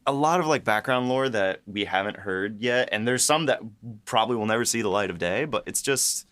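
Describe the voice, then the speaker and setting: A man co-hosting a casual behind-the-scenes discussion, talking in a halting way with repeated words and many filler phrases. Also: fairly low pitch, animated, a bit bright. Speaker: writer and podcast co-host.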